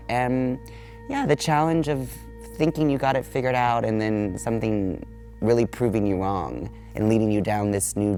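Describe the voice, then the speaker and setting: An American man, a dancer speaking reflectively in an interview. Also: medium pitch. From United States. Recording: loud music behind the voice. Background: loud background music.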